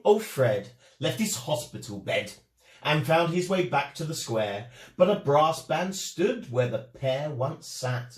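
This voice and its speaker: A man narrates a rhyming children's story with great expression. His fairly high voice rises and falls widely at an unhurried pace, in an echoey room.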